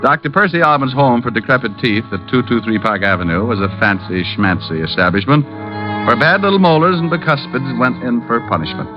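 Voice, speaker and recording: Private eye, male actor: brash, wry, very animated 1940s radio acting, dull vintage sound. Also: on the low side, lightly acted. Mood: sardonic narrating. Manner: sardonic, wry. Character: wisecracking male private detective, brash and persistent. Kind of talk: 1940s radio acting and first-person narration. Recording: dull lo-fi old radio recording.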